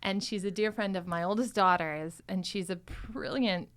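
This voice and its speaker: A middle-aged woman hosting a casual panel chat, speaking in an enthusiastic, expressive way. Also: mid-range voice, moderate pace. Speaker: podcast host and cast member. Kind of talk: casual, chatty panel discussion.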